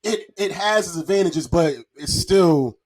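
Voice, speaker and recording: Male, 40s, husky and a bit high. Casual, slangy, sweary podcast storyteller. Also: a little slow, a little mellow, poor audio. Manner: profane, slangy, repeats himself. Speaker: podcast guest. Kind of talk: casual chat, personal anecdotes.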